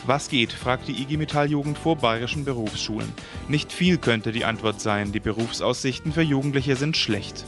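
A man's voice: mid-range voice, normal speed, few pauses. A man presenting a radio news magazine, reading scripted introductions over music. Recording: music bed under the voice.

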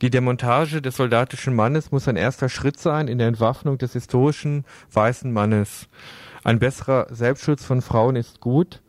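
A man's voice: mid-range voice, moderate pace, no room sound. A man working as a radio host, reading scripted pieces and putting questions in an interview.

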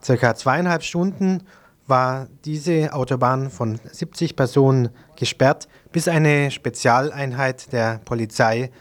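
A man reading scripted news as a radio presenter. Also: medium pitch.

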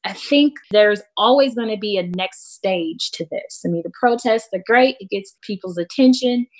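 A woman with an American accent speaking as a panelist, explaining things in a measured way with emphasis on key words. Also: medium pitch, low-quality recording.

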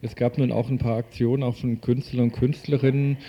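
A man's voice: mid-range voice, little pitch movement, brisk, dull audio. A man working as a radio presenter, reading a scripted report and putting questions to an interviewee.

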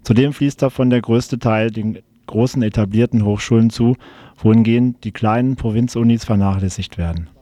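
A man's voice: mid-range voice, moderate pace, mellow, dry recording, clean studio sound. A man reading a scripted news report on the radio.

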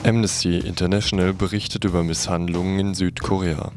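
A man's voice: sits low, little pitch movement, fairly quick. A man announcing scripted radio news headlines. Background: loud plucked guitar music.

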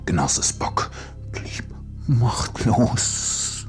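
A man narrating a satirical space adventure in a hushed, mock-serious tone. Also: medium pitch, animated, drawn-out words, soft background music. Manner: hushed, whispering in places.

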